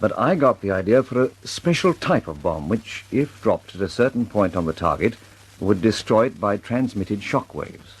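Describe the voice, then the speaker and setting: A fretful, husky older inventor. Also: male voice, fairly low pitch, very animated, moderate pace, rough recording. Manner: fussy, anxious. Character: older male bomb inventor, fretful, fussy. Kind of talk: 1940s radio acting.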